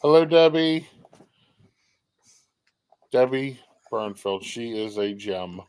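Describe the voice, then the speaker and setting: A man's voice: mid-range voice, animated, slow, darkish tone, clean tone, low-quality recording. A man hosting a live stream, chatty, welcoming viewers by name and sometimes tripping over his words. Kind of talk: casual live-stream chat.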